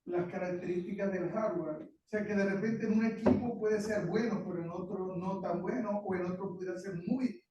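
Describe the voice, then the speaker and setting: A man's voice: high-pitched, fairly even intonation, normal speed, hardly any pauses, warm tone, dull audio, a little hiss or hum. A man from the audience giving a careful comment after a research talk, hesitating and restarting as he goes. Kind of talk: question-and-answer comment. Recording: distant room mic, strong echo.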